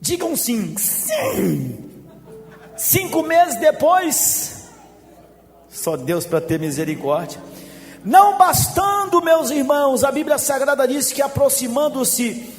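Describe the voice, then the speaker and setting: High-voiced pastor preaching in a hall. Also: male voice, sing-song, slow pace. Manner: emphatic, swings from shouting to quiet asides, jokey. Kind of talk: sermon. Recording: long hall reverb with faint music.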